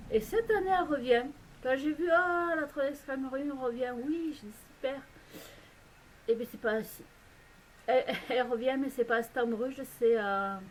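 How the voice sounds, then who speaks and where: A woman from France with a French accent talks to her camera in a loose, meandering vlog. She often pauses on fillers and starts her sentences over. Her voice sits a little high, with a faint hiss underneath. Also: a little mellow, low-quality recording. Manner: hesitant, rambling, many fillers and restarts. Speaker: vlogger. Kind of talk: casual chat to camera. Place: home in a Belgian village.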